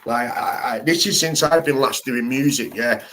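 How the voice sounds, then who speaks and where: A man's voice: medium pitch, moderate pace, noisy background. A man hosting a live stream, chatting casually in slangy, rambling talk full of restarts.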